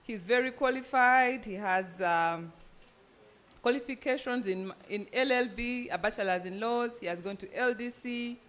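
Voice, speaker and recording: Panel moderator: woman, Ugandan accent, higher-pitched voice, formal live introductions at a conference. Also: moderate pace, fairly bright tone. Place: event venue, speaking live to an audience.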